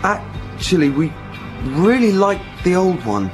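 A man's voice: sits high, big pitch swings, slow pace, faint music behind, exaggerated voice. Earnest, swooping male fan. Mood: hesitant. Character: earnest male fan coaxing his faded idol. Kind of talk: radio comedy play acting.

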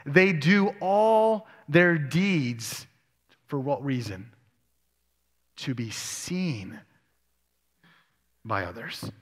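A man preaching a sermon in a church sanctuary, his fairly high voice carrying with long reverb off the hall. He works through scripture aloud and puts questions to his listeners. The long echo of the room trails behind every phrase. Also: expressive, drawn-out words, pauses often. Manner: expository preaching, asks the congregation questions. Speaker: pastor.

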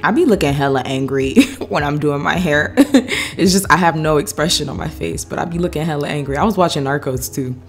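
A woman's voice: deep voice, brisk, faint music behind. A young woman in her twenties with a stuffy voice while recovering from a sore throat, chatting to viewers in a hair tutorial vlog from her apartment. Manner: chatty, laughing, addresses viewers directly. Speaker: beauty vlogger. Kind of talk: casual hair tutorial chat. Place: apartment living room.

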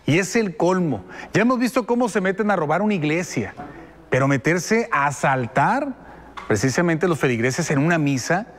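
A man's voice: on the high side, lively intonation, normal speed, long reverb tail. A middle-aged man from Mexico, anchoring the news in a studio and commenting with indignation. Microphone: lapel mic.

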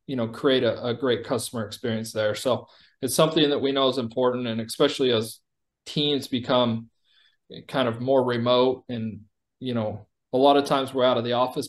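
A man giving a conversational product demo over a video call, explaining like a company executive presenting software. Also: medium pitch.

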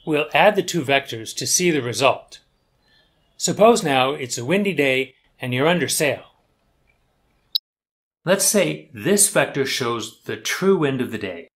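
An older man, a sailing instructor, explaining sailing concepts in calm, measured, scripted narration. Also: medium pitch.

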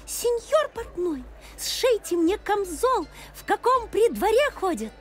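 A woman voicing a vain, cheeky little bird in a storybook, chirping loudly in a singsong way. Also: very high-pitched, sing-song, takes it slowly, soft background music, voice pushed up, full character voice. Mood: imperious chirping.

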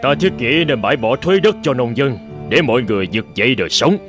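A man voicing a calm, dignified middle-aged emperor in an animated folk tale, measured and authoritative, with music behind. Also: mid-range voice, natural voice. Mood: grave, questioning.

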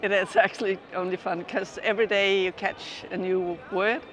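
A woman's voice: medium pitch, fairly even intonation, normal speed, fairly bright tone. A woman in her fifties from Denmark, reflecting on her experience in a street interview and laughing now and then as she talks. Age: 50s. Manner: reflective, laughs while talking. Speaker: interviewed pilgrim. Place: outdoor city square. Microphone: lapel mic.

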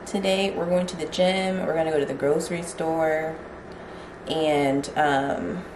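Soft-spoken low-voiced young woman vlogging. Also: fairly even intonation, measured pace, far from the mic, background noise. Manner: soft, reflective, a little emotional. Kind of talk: casual vlog talk. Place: small home kitchen and living room. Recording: roomy, from the mic, music behind.

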